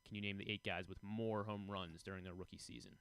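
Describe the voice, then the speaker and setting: A man's voice: low-pitched, fairly even intonation, quick pace, fairly bright tone, smooth, no room sound. An American man co-hosting a casual sports talk show, presenting trivia and statistics. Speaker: radio co-host presenting trivia. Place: radio studio.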